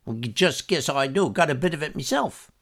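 A man's voice: a little high, lively intonation, fairly quick, fairly bright tone, voice pushed up. A man voicing a wiry, stubborn old fisherman in his nineties with a sly, grumbling manner. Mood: gruff. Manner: sly, cantankerous, dialect speech.

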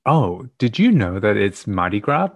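A man's voice: lowish voice, very animated, unhurried, no echo, highs cut off. A man from Australia whose first language is Mandarin, co-hosting a casual podcast in a playful, camp, mock-dramatic way with frequent laughter. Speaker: podcast co-host.

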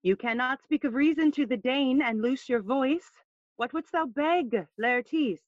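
High-voiced gracious queen. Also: a little slow, dry recording, muffled recording. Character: Gertrude, gracious middle-aged queen and coaxing mother. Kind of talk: bilingual Spanish-English Shakespeare audio play acting.

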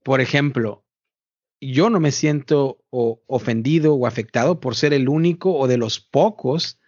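A man's voice: mid-range voice, a little slow, darkish tone, dry recording. A man hosting a board game podcast in casual conversation, chatty and asking questions, often repeating words. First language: Spanish. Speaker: podcast host. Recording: dull, muffled dry audio.

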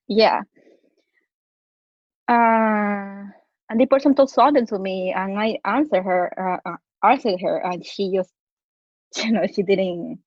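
A woman's voice: mid-range voice, no room sound, lo-fi sound. A woman from Ecuador with a Latin American Spanish accent, a guest telling a personal story in a casual interview, with many restarts. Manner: storytelling, hesitant restarts, acts out quotes. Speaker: guest, para-rower.

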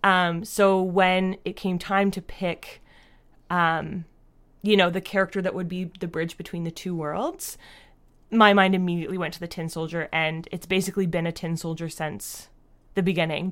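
A woman with a Canadian accent and a fairly low voice talks casually as a podcast guest. She is chatty and informal, peppering her sentences with "like". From Fredericton, New Brunswick, Canada. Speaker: podcast guest, audio drama creator. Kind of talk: casual interview chat.

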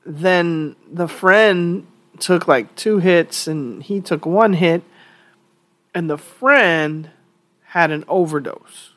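Slow-talking congested woman podcast host. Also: lowish voice, no echo. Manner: conversational and opinionated, thinking aloud with fillers. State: slightly congested from a cold. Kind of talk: solo opinion podcast monologue.

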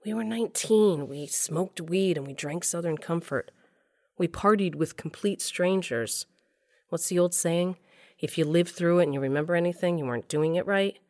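A woman's voice: lowish voice, normal speed, darkish tone, dry recording, studio quality, lightly acted. A woman playing a wry, middle-aged storyteller, narrating an audio drama in the first person with an intimate, confiding manner. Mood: wry, reminiscing.

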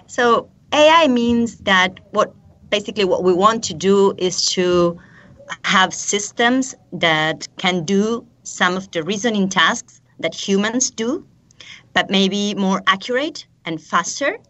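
Argentine woman explaining calmly. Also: medium pitch. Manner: measured and explanatory, occasional repeats. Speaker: guest expert. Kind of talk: interview answers. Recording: dull lo-fi line.

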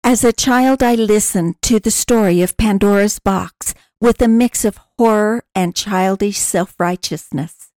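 A woman's voice: mid-range voice, a little slow, few pauses, darkish tone, dry recording. A woman reading a scripted personal essay aloud as a podcast narrator. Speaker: podcast announcer and reader.

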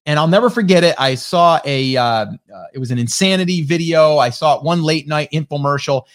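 A man in his late forties gives an easygoing motivational coaching talk. His voice sits a little high for a man. He keeps asking his listeners "okay?" and "right?" as he goes. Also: brisk. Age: 40s. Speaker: marketing coach hosting a coffee talk.

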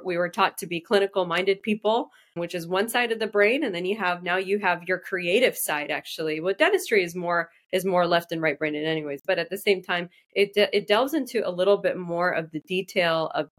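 A woman's voice: lowish voice, fairly bright tone. A woman guest from Iraq, a dentist, talking casually in an interview and often restarting her sentences. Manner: fast, restarting, self-correcting speech.

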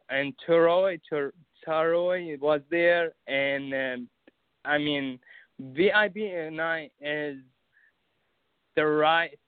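A man's voice: a little high, clean tone, no room sound. A young man with an Arabic accent, a guest in a casual interview, talking hesitantly with many fillers and restarts. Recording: phone-like narrow sound, dry.

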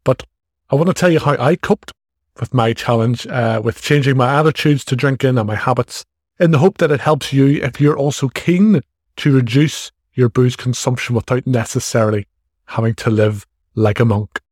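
A man's voice: mid-range voice, normal speed, darkish tone. Irish guy in his 40s, chatting frankly into a close mic, dry room no echo. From Ireland. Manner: frank, chatty, self-deprecating. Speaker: podcast host. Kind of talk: casual solo podcast monologue.

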